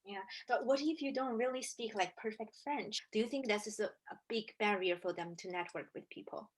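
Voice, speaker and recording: A woman's voice: medium pitch, normal speed. A woman hosting an interview and asking her guests friendly questions. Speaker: host.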